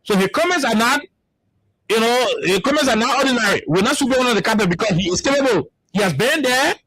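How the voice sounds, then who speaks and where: A man's voice: very high voice, animated, quick pace, fairly bright tone, slightly rough, dry recording, low-quality recording. A man from Liberia with a Liberian English accent, hosting a radio talk show with heated political commentary and repeating words as he searches for them. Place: radio studio.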